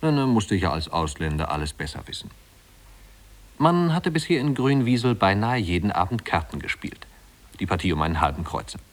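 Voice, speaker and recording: Guy narrating old german radio play, low voice, composed articulate storytelling. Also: sing-song, a little fast.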